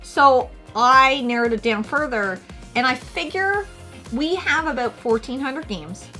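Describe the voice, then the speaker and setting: Woman in her forties, slightly high voice, chatty, explains numbers, casual co-host chat. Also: animated, measured pace, sharp tone, faint music behind. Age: 40s. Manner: chatty, lays out figures and averages. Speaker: co-host of a retro gaming channel.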